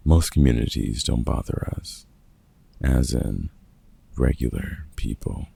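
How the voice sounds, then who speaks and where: Man playing a very deep gravelly vampire hunter. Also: dark tone, no echo, exaggerated voice. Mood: dry, wry. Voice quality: gravelly, husky. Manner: dry, teasing, sardonic. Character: gruff, sarcastic older male vampire hunter, grumpy but caring mentor. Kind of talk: audio roleplay acting, comfort ASMR.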